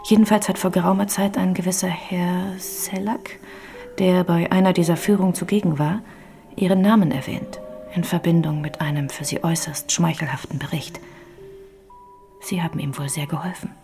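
An actress playing a melancholy centuries-old castle ghost in a radio drama, speaking in formal, archaic phrases with a breathy, often hushed voice. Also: female voice, fairly low pitch, fairly even intonation, moderate pace, faint music behind. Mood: wistful, solemn. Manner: formal, archaic, solemn diction.

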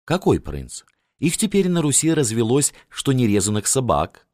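A man's voice: mid-range voice, huge pitch swings, normal speed, a little mellow, dry recording, clean studio sound, pitched above the performer's own voice. A man voicing a scornful old princess who mocks a foreign suitor. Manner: mocking, grumbling.

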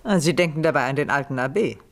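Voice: actress as haughty low-toned noblewoman. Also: quick pace, close to an everyday voice. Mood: sardonic. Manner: imperious, sardonic. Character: proud, haughty aristocratic lady, icy and shrewd. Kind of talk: German radio play acting.

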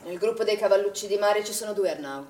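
An actress playing a firm, defensive director of a swimming pool in a naturalistic stage drama, speaking formally. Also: female voice, medium pitch, quick pace, a little mellow, natural voice. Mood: indignant, probing. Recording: roomy, distant stage recording with noise.

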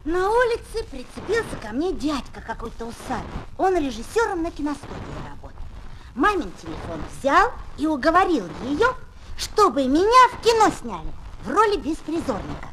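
Excitable high-voiced schoolboy. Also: female voice, normal speed, full character voice. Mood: eager storytelling. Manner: animated, emotional storytelling. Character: boastful yet tender-hearted schoolboy Tolka, would-be film actor. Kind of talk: 1970s Soviet children's radio play. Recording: noisy old 1970s flexi-disc record.

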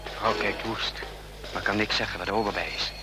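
An actor playing a suspicious, watchful secret agent in a radio play, making terse observations. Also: male voice, lowish voice, fairly even intonation, fairly quick, over loud music, lightly acted.

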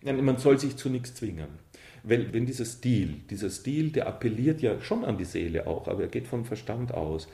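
A man's voice: lowish voice, very animated, moderate pace, vocal fry, dark tone. A man giving emphatic, plain-spoken opinions in casual interview talk. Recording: echoey room.

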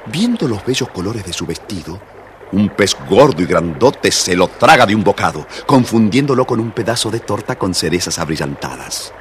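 A man's voice: on the low side, big pitch swings, normal speed, a little hiss or hum. A man with an Argentine accent narrating a scripted children's tale, speaking directly to young listeners. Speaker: storyteller, narrator.

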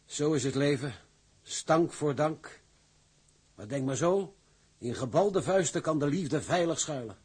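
Pious male seaman, radio drama: slightly high, husky, sententious, moralizing counsel. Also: lively intonation, measured pace, long pauses, a little mellow, full character voice. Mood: sanctimonious. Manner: sententious, preachy.

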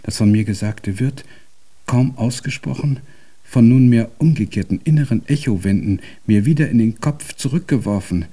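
An actor playing a deaf, cantankerous old composer in a German radio drama, speaking in an ornate, pompous and convoluted way. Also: male voice, medium pitch, normal speed, warm tone, husky, natural voice. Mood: brooding, intense.

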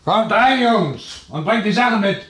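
An actor in a radio crime drama playing a brisk, wry police inspector who questions with authority. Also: male voice, sits high, lively intonation, fairly bright tone. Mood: brisk, commanding. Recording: noisy old radio play recording.

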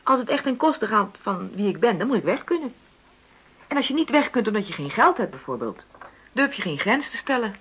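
An actress in a radio drama playing an unhappy middle-aged wife who feels trapped, speaking openly and haltingly in a husky voice. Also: female voice, medium pitch, big pitch swings, a bit bright, lo-fi sound, slight background noise. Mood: firm, pleading. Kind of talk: naturalistic radio drama dialogue.

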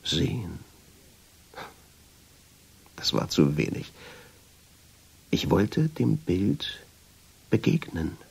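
An actor playing a reflective traveller who tells his story in measured, deliberate radio drama narration, with a husky voice. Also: very animated, a little mellow, heavily acted. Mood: brooding, fascinated. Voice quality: low, husky voice. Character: reflective male traveller, drawn and obsessive, telling his story. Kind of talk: literary radio drama narration in first person.

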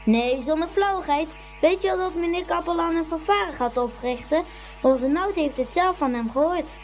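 An actor voicing a chattering young schoolboy in a Dutch radio play, lively and full of teasing banter. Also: very high voice, moderate pace, soft background music, rough recording. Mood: eager.

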